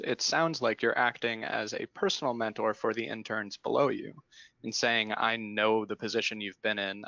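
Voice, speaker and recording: A man's voice: lowish voice, gravelly, sharp tone, no echo, muffled recording. A man moderating a panel discussion, affirming speakers and inviting others to join in.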